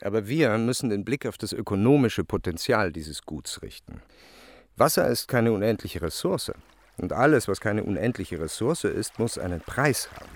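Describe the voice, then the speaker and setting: A man's voice: on the low side, a little hiss or hum. An actor playing an assured, businesslike male chief executive of a water company in a radio drama. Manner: assured, declarative, corporate. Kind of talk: documentary-style radio drama acting.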